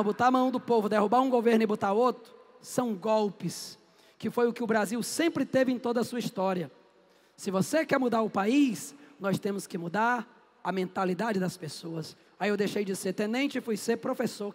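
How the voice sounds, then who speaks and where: Casting note: man, high voice, playful teacher posing and answering his own questions, reverberant classroom. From Northeast Brazil. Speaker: history teacher. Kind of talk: lively classroom lecture. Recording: echoey classroom with long reverb.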